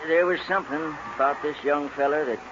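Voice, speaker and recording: Easygoing cowboy narrator male actor, folksy, slightly high voice, dull-sounding 1940s radio western. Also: quick pace, lightly acted. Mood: easygoing storytelling. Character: folksy old-West storyteller spinning a yarn to the boys. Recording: muffled, noisy 1940s radio recording.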